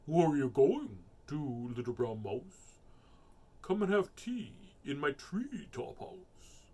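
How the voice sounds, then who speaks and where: A man's voice: a little high, big pitch swings, slow, dark tone, husky, full character voice. A man voicing a gullible storybook owl in a theatrical, exclaiming manner. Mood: inviting, curious. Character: inviting, gullible owl who takes fright.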